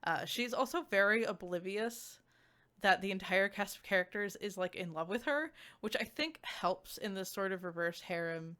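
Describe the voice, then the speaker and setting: A woman giving a casual, chatty game review as a streamer, speaking informally and haltingly with lots of filler words. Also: medium pitch, sharp tone. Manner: informal, halting, filler-heavy speech with frequent "like".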